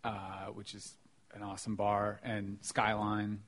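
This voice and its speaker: A man's voice: on the low side, monotone, measured pace, fairly bright tone. A man with an American accent, a writer, chatting casually as a guest on a podcast. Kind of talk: casual chat, being interviewed.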